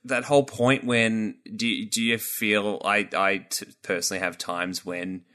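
A man's voice: lowish voice, flat delivery, unhurried, pushed and bright. A man hosting a podcast, chatting casually and rambling through his points with many fillers and restarts. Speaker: podcast host. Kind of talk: casual chat.

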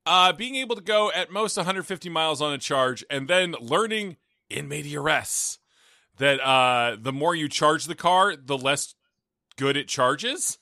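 A man with a bright, forceful voice hosts a casual podcast, sounding tired but still trading deadpan jokes. He talks through a gaming headset, and the sound is dry and close with no room echo. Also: fairly high pitch, unhurried. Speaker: podcast host. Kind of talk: casual chat.

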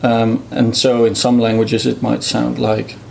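A middle-aged man whose first language is Dutch explains his linguistics research. He speaks thoughtfully, with many ums and small restarts, in a mellow, gravelly voice. A faint hiss sits behind him. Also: mid-range voice, completely flat delivery, measured pace. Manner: thoughtful, many fillers, demonstrates sounds. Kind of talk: explanatory interview talk.

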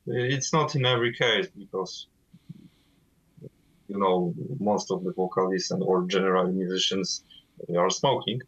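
A man from Poland with a Polish accent, guest vocalist of a death metal band, speaking halting non-native English with many fillers over a call. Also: deep voice, steady tone, a bit bright, highs cut off.

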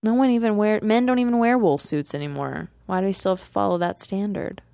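A woman's voice: medium pitch, fairly even intonation, quick pace, creaky, warm tone, no room sound. Dry-miked woman in casual podcast chat. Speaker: podcast co-host.

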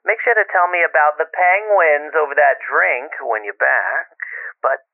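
A man's voice: fairly high pitch, unhurried, no room sound. A man playing a sarcastic, teasing boyfriend, rambling and swearing through a phone-filtered voicemail in an audio drama. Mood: teasing, playful. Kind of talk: casual voicemail rant, found-footage audio drama. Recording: thin, dry phone-line sound.

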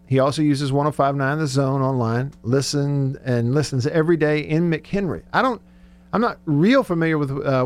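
A man hosting casual sports talk radio, chatty and joking as he reads out listener texts. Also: on the high side. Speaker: radio show host. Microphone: close studio radio microphone.

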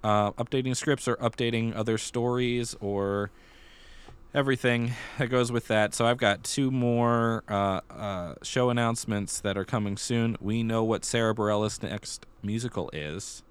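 A man's voice: mid-range voice, steady tone, normal speed, smooth. A man hosting a podcast, talking casually through theater news and thinking aloud as he goes. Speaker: podcast host.

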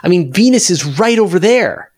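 A man's voice: high voice, big pitch swings, normal speed, dry recording, clean studio sound. A man talking enthusiastically and emphatically in a conversational science discussion, as an interview guest.

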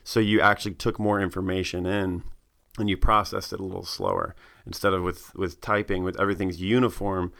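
A man's voice: low voice, brisk, vocal fry, fairly bright tone. A man in a casual chat, laid-back and searching for his words, laughing easily.

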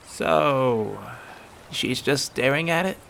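A man voicing a cautious young boy who speaks in a hushed, tentative way. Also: mid-range voice, slow pace, creaky, slight background noise, pitched above the performer's own voice. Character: young boy, cautious, tender yet impatient.

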